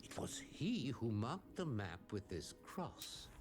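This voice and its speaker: An actor playing an ominous storyteller of pirate sea legends in dramatic, gravelly game narration over music. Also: male voice, medium pitch, big pitch swings, slow pace. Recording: game cutscene with loud music.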